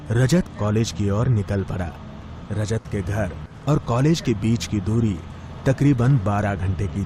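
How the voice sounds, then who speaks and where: A man's voice: fairly low pitch, dark tone. A man reading scripted narration for a moral story as its narrator.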